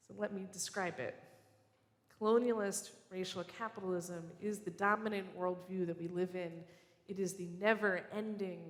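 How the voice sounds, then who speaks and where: A preacher giving an earnest, measured and personal sermon in a church sanctuary, speaking as a guest. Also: female voice, medium pitch. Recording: echoey church hall.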